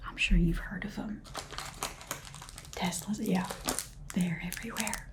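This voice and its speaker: A woman voicing a sassy, chatty Southern saleswoman in a whispered ASMR roleplay, with soft keyboard typing behind her. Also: lowish voice, fairly even intonation, measured pace, close to an everyday voice.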